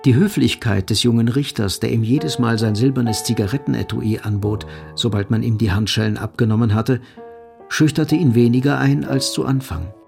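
A man's voice: medium pitch, moderate pace, creaky, a little mellow, lightly acted. A man reading scripted literary narration as the sober narrator of a crime audio drama. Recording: faint music behind narration.

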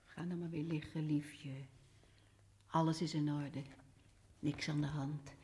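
An actress playing a gentle carer who soothes a frightened patient in a radio play. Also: female voice, low-pitched, little pitch movement, slow pace. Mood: soothing. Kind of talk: 1950s radio drama acting.

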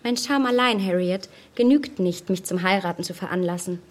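A woman's voice: medium pitch, moderate pace, natural voice. A young woman acting a witty, self-assured heiress in a period radio play, poised, articulate and superior in manner.

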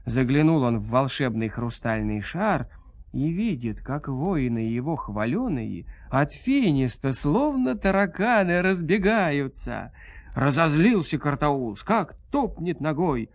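A man narrating a dramatized fairy tale in an expressive, folksy storytelling manner. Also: a little high, slow, no echo.

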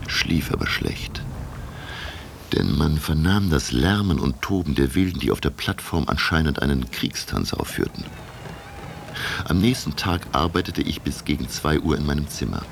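A man playing a scholarly narrator who recounts a sea voyage in a measured, literary way for a radio drama. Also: big pitch swings, background noise, exaggerated voice. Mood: uneasy recounting. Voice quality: very deep, gravelly. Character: Professor Aronnax, older scholarly narrator recalling his sea voyage. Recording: radio drama with loud music behind. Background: music.

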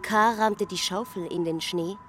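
A woman's voice: fairly low pitch, fairly even intonation, unhurried. A woman reading literary narration in a radio drama. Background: loud music.

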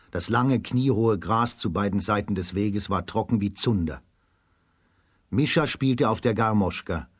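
A male narrator tells a children's radio story. His voice sits fairly low and has a husky, slightly rough grain. The sound is very dry and close. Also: no room sound.

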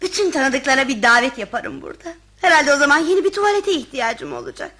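An actress playing a vain, flirtatious society wife in a radio comedy, chattering coquettishly. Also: female voice, high-pitched, lively intonation, quick pace, pushed and bright. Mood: scheming, eager. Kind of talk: radio theatre comedy acting.

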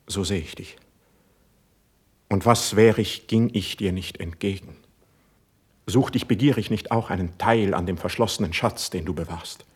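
A man's voice: lowish voice, slightly rough. An actor playing an ardent, proud and sensitive young male court poet in a classical verse radio play, speaking in a fervent, lofty way. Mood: earnest, measured. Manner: fervent, lofty, declamatory delivery.

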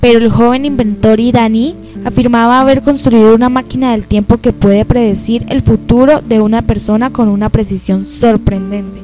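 A woman's voice: fairly high pitch, fairly even intonation, moderate pace, few pauses, dark tone, faint music behind, lightly acted, poor audio. A young woman acting as a curious girl searching for answers in an amateur radio drama. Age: young adult.

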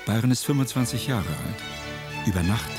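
A man giving scripted documentary narration in a factual, measured manner. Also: mid-range voice, over loud music.

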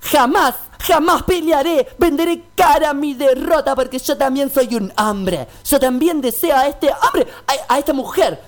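A woman's voice: high-pitched, natural voice. A woman playing a jealous, lovelorn police sergeant with florid speech in a comic radio melodrama. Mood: tragic, florid. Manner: florid, halting declamation.

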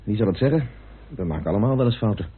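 An actor playing a troubled, stubborn amateur detective with an earnest, brooding manner in an old Dutch radio drama. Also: male voice, on the low side, animated, racing, husky. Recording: noisy muffled tinny old radio recording.

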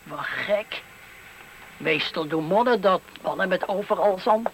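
A man's voice: high-pitched, a little slow. An actor playing a cheeky, chuckling old man who gossips on a bench in a radio play. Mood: curious, amused. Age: older. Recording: noisy 1970s radio play recording.

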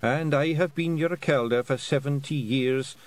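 A man voicing a dying old fairy clan mother with a Scottish accent, speaking slowly and firmly in a raised voice. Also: close to an everyday voice. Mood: commanding, frail. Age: over 60. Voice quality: slow, raised-pitch, firm old-woman voice.

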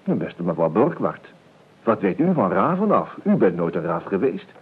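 An actor playing an idle, whimsical gentleman heir in a radio play, talkative and hesitant, repeating his words. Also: deep voice, very animated, moderate pace, breathy edge, heavily acted. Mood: musing. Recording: muffled phone-like old radio recording, hiss.

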